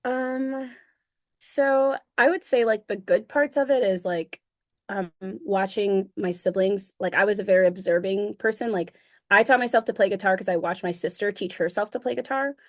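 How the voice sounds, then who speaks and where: A woman's voice: medium pitch, moderate pace. A young woman being interviewed about her music, talking casually with many fillers and frequent laughs. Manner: chatty, fillers of um and like, laughs often. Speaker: interview guest, singer.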